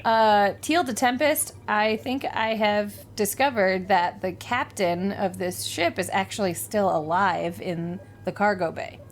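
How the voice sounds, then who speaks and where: A woman's voice: mid-range voice, unhurried, few pauses, a little hiss or hum. A woman talking casually as a player in a tabletop role-playing game.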